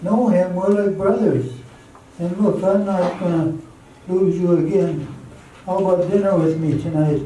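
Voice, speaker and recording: A man's voice: high voice, steady tone, a little slow, warm tone, slight background noise. A man acting as an eager, nervous suitor in an amateur radio drama, earnest and hesitant. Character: eager, nervous young suitor courting a woman. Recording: very echoey hall from far off, music.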